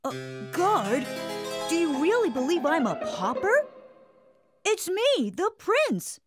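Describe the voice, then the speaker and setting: A woman voicing a curious, proud young boy prince in an animated storybook, speaking slowly and playfully in a high, boyish voice. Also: exaggerated voice. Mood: insistent. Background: music.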